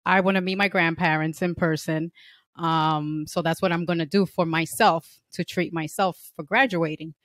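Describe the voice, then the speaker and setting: A woman in her forties from New York, a podcast guest talking in a relaxed, unhurried way from home into a stand mic on a video call. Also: on the low side, no room sound, studio quality. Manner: relaxed, unhurried, laughs easily. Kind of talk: casual interview conversation. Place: home room. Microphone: studio mic on a stand, headphones, video call.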